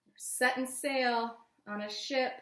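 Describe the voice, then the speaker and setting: A woman's voice: high-pitched, takes it slowly. A woman with an American accent reads a picture book aloud expressively to young listeners, with casual asides. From United States. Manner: animated, addressing young listeners. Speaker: children's story reader. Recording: echoey room.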